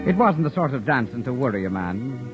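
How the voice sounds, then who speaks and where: A man's voice: medium pitch, sing-song, lightly acted. An actor playing Michael, a witty, lovestruck young man who also narrates, in 1940s-style radio drama acting with a lilt. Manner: wry, theatrical, quick comebacks. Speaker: narrator and lead character. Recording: muffled old radio recording with music.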